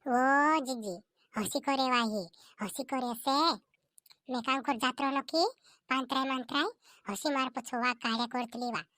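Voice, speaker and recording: A woman's voice: high-pitched, moderate pace, fairly bright tone, no room sound. A woman voicing a complaining young village wife. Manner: complaining, chatty.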